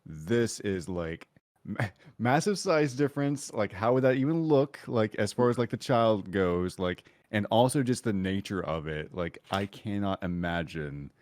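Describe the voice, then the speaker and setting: A man's voice: on the low side, animated, unhurried, darkish tone, no echo, clean studio sound. A man on a podcast panel discussing casually, hedging as he works out his opinion.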